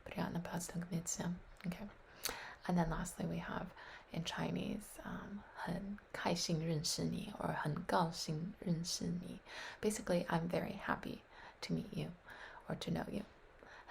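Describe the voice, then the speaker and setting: A woman's voice: fairly low pitch, creaky, darkish tone. A woman practicing foreign phrases aloud, often whispering, repeating words and doubting her own pronunciation. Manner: unsure, self-deprecating. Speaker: language learner practicing phrases.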